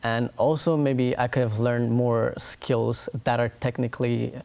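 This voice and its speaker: A man in his late teens from Nepal, giving measured, careful answers as a guest in a studio interview. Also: mid-range voice, flat delivery, gravelly, a little mellow, clear, resonant tone. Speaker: interview guest, school graduate.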